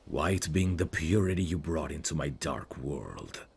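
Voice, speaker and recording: A man playing a brooding evil prince, tender and sorrowfully noble, speaking in a slow, formal, wistful way for an intimate ASMR fantasy roleplay. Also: very deep voice, sing-song, gravelly, a little mellow, slightly rough, heavily acted. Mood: tender, solemn.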